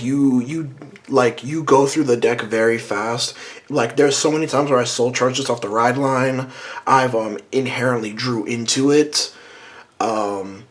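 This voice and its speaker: A hoarse man casually reviewing a card game deck to camera, rambling with lots of "um" and "like". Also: mid-range voice. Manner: rambling, many "um" and "like" fillers.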